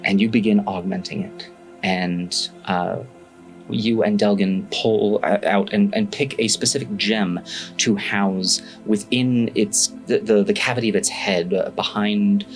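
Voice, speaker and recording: Halting man running a tabletop game, music behind. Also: lowish voice, fairly even intonation, poor audio. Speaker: game master and host. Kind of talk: narrating a tabletop game, casual chat. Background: background music.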